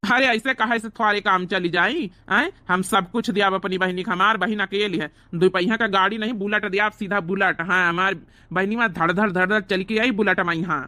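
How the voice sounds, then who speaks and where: A man's voice: sits high, fairly quick, bright, forceful tone, no echo. A man voicing a proud, doting village brother in a cartoon comedy, earnest and boastful, with an Awadhi accent.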